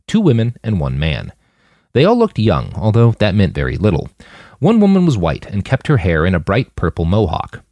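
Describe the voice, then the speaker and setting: Male fiction podcast narrator, highly animated delivery, dry intimate sound. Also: dry recording. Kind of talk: scripted audiobook narration with character voices.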